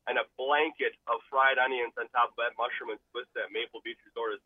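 A man's voice: mid-range voice, little pitch movement, fast talker, no echo. A man calling in to a radio show over the telephone, chatting casually with enthusiastic, emphatic delivery. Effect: telephone line. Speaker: radio phone-in caller. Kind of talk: casual, chatty call-in talk. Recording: muffled, narrow telephone line.

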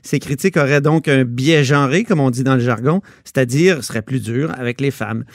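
A man's voice: medium pitch, moderate pace, no room sound, clean studio sound. A man hosting a radio interview, asking probing questions and interjecting often. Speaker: radio host.

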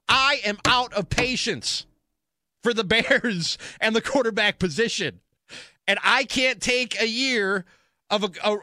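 A man's voice: high-pitched, pushed and bright, no echo, studio quality. A man hosting sports talk radio, delivering an emphatic, opinionated monologue and repeating phrases for stress.

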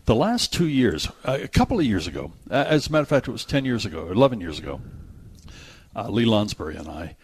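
A man's voice: on the low side, very animated, fast talker, darkish tone, husky. An older man hosting talk radio, chatting casually in a rambling, anecdotal way. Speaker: radio host.

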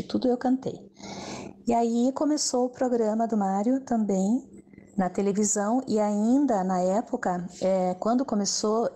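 A middle-aged woman speaks in a warm, reminiscing way, her tone dark and mellow. She often adds little "né" tags as she recalls things. It sounds like a recorded interview clip. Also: medium pitch. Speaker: former stage assistant and singer.

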